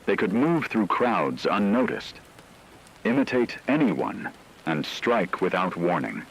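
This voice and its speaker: A man reading scripted, documentary-style dramatic narration in a measured, solemn way. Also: deep voice, very animated, gravelly, slightly rough, low-quality recording.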